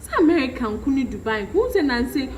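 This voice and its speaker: Seorang wanita yang memerankan wanita muda yang santai dan menepis keluhan. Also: female voice, sits high, big pitch swings, fast talker. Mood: chatty. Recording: noisy background.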